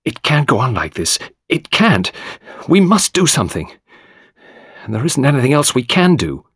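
A man's voice: medium pitch, big pitch swings, quick pace, dry recording, voice pushed up. A male narrator plays a desperate, bitter young man in an audiobook. His speech is firm and matter-of-fact, with a nervous intensity underneath. The recording is close and dry. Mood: violent, desperate.

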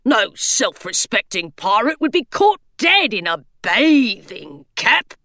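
A woman's voice: on the high side, sing-song, slow, sharp tone, no echo, studio quality, exaggerated voice. A woman reading aloud, voicing a fretful, dramatic male stone gargoyle who sighs and complains. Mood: grumbling. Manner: indignant and self-pitying, theatrical sighs.

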